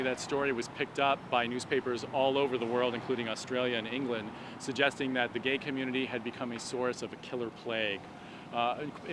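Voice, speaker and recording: A man speaking as a doctor and activist, explaining an issue in measured, articulate phrases during a street interview. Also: medium pitch. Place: outdoors on an urban street at a protest. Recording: noisy city street with traffic. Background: street and traffic noise.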